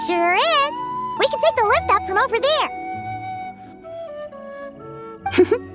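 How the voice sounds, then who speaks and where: Game fairy mascot: childlike, bubbly, chirpy, very high voice with lively swings, over prominent music. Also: female voice, brisk. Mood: upbeat. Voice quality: squeaky, childlike. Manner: chirpy, refers to self in third person. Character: tiny bubbly childlike fairy companion girl, excitable, talks in third person. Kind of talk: video game voice acting.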